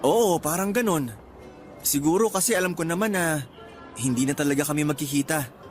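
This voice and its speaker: An earnest young Filipino man on his first trip abroad , played by a male actor in a radio drama. His voice sits a little high and sounds conversational and eager, with light music underneath. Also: normal speed, natural voice. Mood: wistful, unsure.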